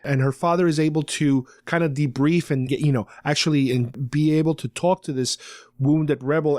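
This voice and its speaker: A man with a slightly high voice hosts a podcast, casually retelling a story. He explains things in a rambling, conversational way, and the sound is dry with no room around it. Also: a little mellow, no echo. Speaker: podcast host. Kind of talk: casual talk recapping a radio drama.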